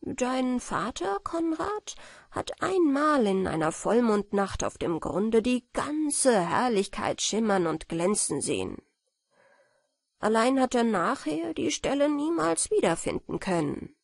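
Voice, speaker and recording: A woman reading the part of an old grandmother who tells eerie lake legends to children in a hushed, cautioning way. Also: fairly high pitch, very animated, takes it slowly, creaky, no room sound, clean studio sound, exaggerated voice. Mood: mysterious. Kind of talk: fairy-tale storytelling.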